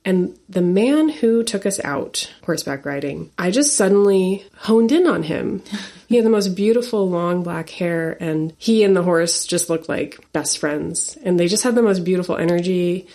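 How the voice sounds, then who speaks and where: A woman in her forties, a singer-songwriter guest, telling a personal story in an earnest, animated way with laughs and fillers. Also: lowish voice, moderate pace. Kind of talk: storytelling interview talk.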